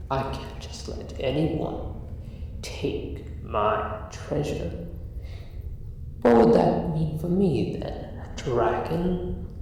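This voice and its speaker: Slow, echoing, gravelly dragon acted by a man. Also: mid-range voice, a little mellow. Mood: politely menacing. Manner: slow, deliberate, mock-polite and condescending. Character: huge, condescending, possessive male dragon guarding his treasure. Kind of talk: improvised ASMR roleplay monologue. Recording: roomy echo, distant, faint noise, cave ambience. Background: cave ambience.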